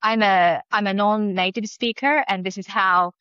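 A woman with a Japanese accent, a native Japanese speaker, answering interview questions as an academic in a thoughtful way with many pauses and fillers. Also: mid-range voice, steady tone, pushed and bright, smooth, dry recording, dull audio. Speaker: academic guest.

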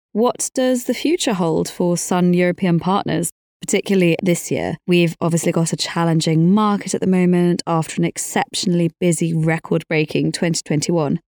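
A woman's voice: fairly low pitch, moderate pace, a little mellow, dry recording, studio quality. A woman hosting a business interview, putting prepared questions in a polite, articulate, professional way. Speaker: interviewer, podcast host.

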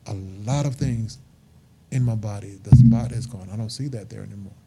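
A man's voice: on the low side, moderate pace, creaky, over music. A man in his fifties, a truck driver being interviewed, talking earnestly and emphatically and repeating his points. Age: 50s. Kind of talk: casual interview talk.

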